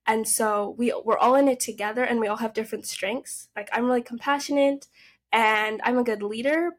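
A nineteen-year-old woman raised in the United States, a podcast guest telling upbeat stories about her family. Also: on the high side. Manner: upbeat, chatty storytelling. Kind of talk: casual interview.

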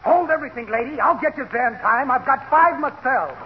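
Crackly dull old radio, brash cab driver in a comedy, loud and chatty. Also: male voice, very high voice, lively intonation, fairly quick. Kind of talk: 1940s radio comedy acting. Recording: very noisy, dull vintage radio broadcast.

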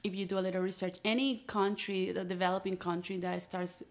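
A woman's voice: mid-range voice, little pitch movement, a little fast. Chatty woman. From Peru. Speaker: interview guest. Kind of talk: casual chat.

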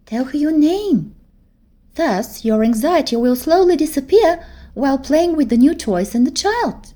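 A woman's voice: high-pitched, lively intonation, measured pace, warm tone, voice pushed up. Woman doing a kind, reassuring older girl. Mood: soothing. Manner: reassuring, explaining. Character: kind caring nine-year-old girl.

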